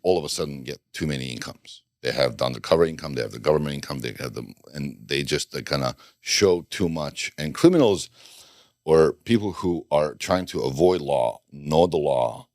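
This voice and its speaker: A man's voice: very deep voice, animated, normal speed, darkish tone, no echo. A middle-aged man from Bosnia, a guest telling his story in a casual interview, rambling and restarting sentences with dry jokes. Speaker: podcast guest, former undercover FBI agent.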